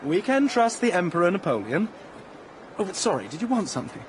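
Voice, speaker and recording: An actor playing an evasive, socially ambitious young staff officer in a radio drama, affable but condescending. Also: male voice, sits high, very animated, a little fast. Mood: breezy. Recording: busy crowd and street noise behind.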